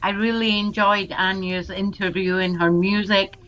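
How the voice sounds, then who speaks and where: A woman with a bright voice talks casually and with enthusiasm on an internet radio show. She speaks in an encouraging way. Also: mid-range voice, level tone, normal speed, rough recording. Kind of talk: casual chat.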